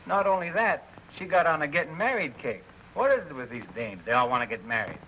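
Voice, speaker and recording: An actor playing an irritable, wisecracking army first sergeant, impatient and sarcastic, in a mid-century film comedy. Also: male voice, a little high, big pitch swings, brisk. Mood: wry storytelling. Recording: dull old film soundtrack, faint noise.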